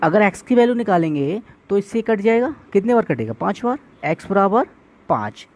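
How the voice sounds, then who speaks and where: A man's voice: high voice, very animated, fairly quick. A male maths teacher giving an exam-prep lesson, explaining step by step, asking questions and answering them himself, and calling viewers friends.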